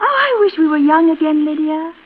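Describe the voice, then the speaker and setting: Casting: girlish, fragile sister near forty, very high timid voice, vintage radio suspense, muffled noisy recording. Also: animated, fairly quick. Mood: wistful. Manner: timid, rambling, apologetic, girlish. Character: fragile, childlike woman nearing forty, timid and wistful. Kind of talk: 1940s radio suspense acting.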